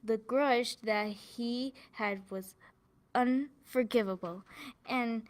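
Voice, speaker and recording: A woman with a fairly high voice reads a scripted story. Her delivery is slow and deliberate, each phrase set out with care. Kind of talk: scripted narration, student newsreel reading.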